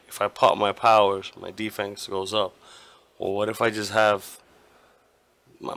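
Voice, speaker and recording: A hoarse man hosting a gaming channel, giving his opinion casually, rambling and restarting often and swearing now and then. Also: fairly low pitch, a bit bright, no echo. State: hoarse, partly lost voice.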